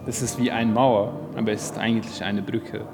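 A male architecture student explaining his class project in hesitant, halting German at an exhibition. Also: lowish voice, fairly even intonation. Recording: music playing in background.

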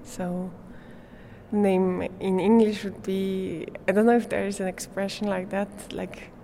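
A woman's voice: mid-range voice, level tone, measured pace, mellow, slight background noise. Hesitant woman speaking English. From Switzerland. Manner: hesitant, searching for English words with many fillers and light laughs. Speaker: performance artist, interviewee. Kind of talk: casual interview answers.

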